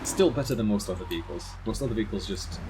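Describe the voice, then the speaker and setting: A man's voice: fairly low pitch, expressive, very fast, very noisy. A man from the Netherlands giving casual live commentary while streaming a driving game, chatting with viewers and laughing at his own crashes.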